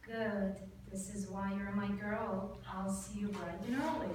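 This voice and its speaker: A woman's voice: mid-range voice, steady tone, a little slow, close to an everyday voice. Brief: woman reading wry senior professor, offhand and blunt, distant in a roomy space. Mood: teasing. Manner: teasing, blunt. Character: teasing middle-aged woman neurology professor, blunt mentor. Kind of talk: table read. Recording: echoey room, mic far off, background noise.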